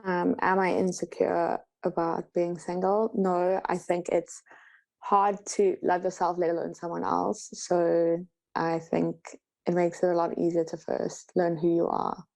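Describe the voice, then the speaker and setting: A woman in her early twenties, a panel guest giving calm, brief answers. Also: fairly low pitch, unhurried, creaky. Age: 20s. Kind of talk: casual group discussion.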